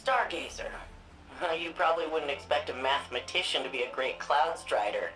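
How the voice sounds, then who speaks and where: A playful, nerdy young archivist. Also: female voice, low voice, lively intonation, background noise. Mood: reflective. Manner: quick, playful, chatty. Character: spirited young woman, nerdy city archivist. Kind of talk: video game dialogue and narration.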